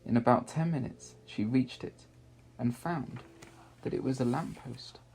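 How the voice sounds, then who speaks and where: A man narrating a children's story aloud in a measured, expressive way with deliberate pauses. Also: medium pitch, creaky, slight background noise, poor audio.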